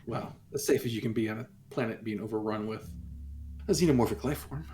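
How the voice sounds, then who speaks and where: Tabletop player, casual game chat, medium pitch, unscripted. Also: brisk, over loud music.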